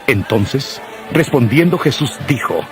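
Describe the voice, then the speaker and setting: A man narrating scripture from a script in a solemn, measured manner. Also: medium pitch, animated. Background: music.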